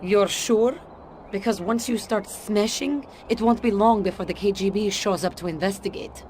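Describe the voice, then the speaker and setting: A shrewd woman spy from a Cold War video game, voiced by an actress, speaking in a Russian accent at a medium pitch. She is guarded and businesslike, with a wry edge, over faint background noise. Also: normal speed, darkish tone, lightly acted. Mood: grave, businesslike. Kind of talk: video game cutscene voice acting.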